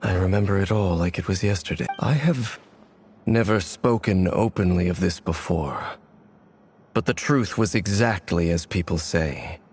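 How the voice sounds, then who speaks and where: An actor playing a weary, grave swordsman haunted by an old betrayal, speaking in a deep, measured way in video game dialogue. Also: darkish tone, a little hiss or hum, close to an everyday voice. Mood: solemn.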